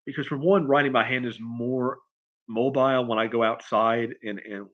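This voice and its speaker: A man chatting casually on a livestream as a writer answering questions, in a halting, rambling way, often repeating and restarting his phrases. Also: mid-range voice, fairly even intonation, lo-fi sound. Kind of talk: casual unscripted chat, answering viewer questions.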